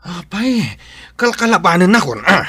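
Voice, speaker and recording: A bright, high-pitched village man with an Ilocano accent. He is touchy and teasing, swinging up and down as he blusters through a comedy drama. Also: sing-song, normal speed, breathy edge, dry recording, clean studio sound, heavily acted. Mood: indignant. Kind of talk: comedic radio drama acting.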